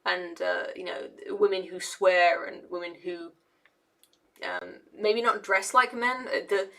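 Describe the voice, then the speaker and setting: A young woman talking casually to camera, with a gravelly edge and a fairly flat, level delivery. She rambles and hesitates, restarting her sentences and leaning on fillers as she works through her thoughts. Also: fairly low pitch, measured pace. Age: young adult. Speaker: engineer and video essayist.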